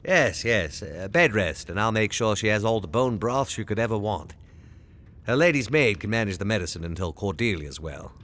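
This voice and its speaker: An actor playing a proud, stubborn aristocratic husband and hunter in a period audio drama, lordly and emphatic. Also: male voice, lowish voice, lively intonation, normal speed, fairly bright tone. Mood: disbelieving, defensive.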